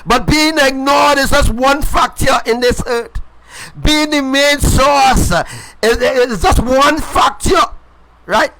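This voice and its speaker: Very high-pitched man preaching emphatically. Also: slow pace, background noise. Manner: emphatic and repetitive, hammering phrases again and again; addresses viewers directly as folks. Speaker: self-styled preacher. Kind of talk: live-streamed sermon.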